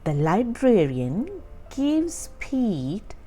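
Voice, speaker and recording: A woman narrates a picture book for young children with a hugely animated, swooping delivery. She takes her time, very slowly placing each phrase and stressing key words. Music plays beneath her storytelling. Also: medium pitch, breathy edge. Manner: deliberate, expressive storytelling with emphasized words. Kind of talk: scripted read-aloud narration for young children. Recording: music under the voice. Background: background music.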